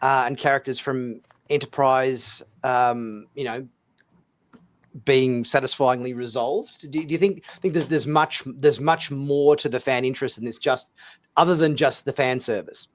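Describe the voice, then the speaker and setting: A man's voice: mid-range voice, unhurried. A man hosting a casual panel discussion, speaking hesitantly and often repeating words and phrases as he goes. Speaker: host of a fan talk show.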